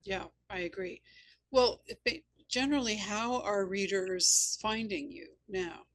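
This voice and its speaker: A woman hosting a conversational interview among authors, speaking in a thoughtful, measured way. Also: medium pitch. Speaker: host and author.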